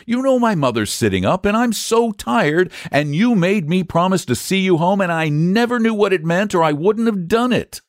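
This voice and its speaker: Little storybook boy played by a man, high-pitched, whining, tired, tearful, pleading, very expressive. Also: moderate pace, few pauses, dry recording, studio quality. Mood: piteous, pleading.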